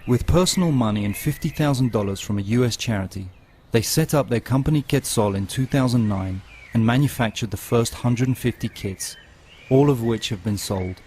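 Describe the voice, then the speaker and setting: Steady male documentary narrator. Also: mid-range voice, a little fast, a little hiss or hum. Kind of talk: scripted narration.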